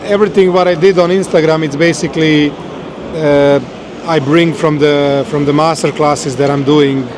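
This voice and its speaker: A man with a Serbian accent, a guest in a casual interview, speaking in a steady, measured way with some hesitation. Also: a little high, noisy background. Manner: steady, measured, a little halting.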